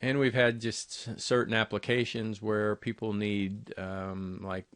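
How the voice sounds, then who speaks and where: A man giving a conversational, somewhat halting, unscripted product walkthrough as a salesperson. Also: on the low side, flat delivery, a bit bright, smooth, no room sound, studio quality. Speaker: intercom company salesperson presenting products. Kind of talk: unscripted product walkthrough over screen recording.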